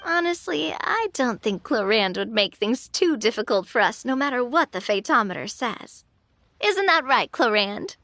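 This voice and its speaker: A woman's voice: on the high side, big pitch swings, normal speed, fairly bright tone. An actress playing a bold, upbeat young businesswoman adventurer in an anime game, eager, confident and chummy. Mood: playful. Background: music.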